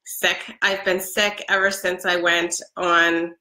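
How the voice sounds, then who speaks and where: A woman's voice: lowish voice, little pitch movement, takes it slowly, sharp tone. A woman in her forties with a head cold, chatting casually on a live stream from her living room, upbeat with plenty of ums. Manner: chatty, upbeat, many ums, laughs often. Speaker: product seller. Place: living room with a Christmas tree. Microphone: webcam at close range. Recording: echoey room.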